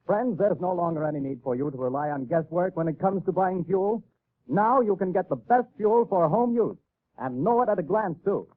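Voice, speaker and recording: A man announcing a 1930s radio show and its sponsor in a booming, formal delivery. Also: lively intonation, moderate pace. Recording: tinny old radio broadcast.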